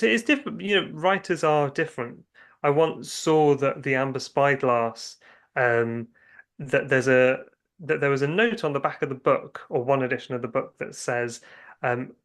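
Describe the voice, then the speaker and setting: A man's voice: mid-range voice, fairly bright tone. A man speaking as an interview guest, chatting casually about his creative work in rambling anecdotes with hesitant starts. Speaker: guest writer and filmmaker.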